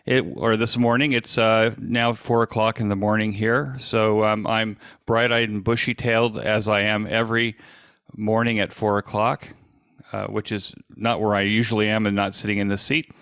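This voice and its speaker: A man in his sixties with an American accent gives an informal online presentation in a halting, conversational, self-deprecating way. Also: fairly low pitch, little pitch movement, a bit bright, smooth, dry recording, studio quality. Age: 60s. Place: indoors at a desk, on a video call.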